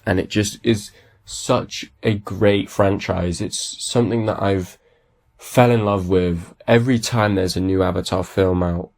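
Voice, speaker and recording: Male podcast host, low gravelly voice, raspy with a cold, eager and rambling. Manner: enthusiastic, rambling with many restarts. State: has a cold. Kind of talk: casual solo podcast talk.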